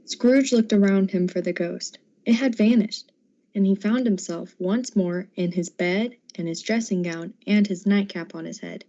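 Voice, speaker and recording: A woman narrating a radio drama like a storybook, with measured, literary delivery. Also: medium pitch, warm tone. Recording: muffled audio with background noise.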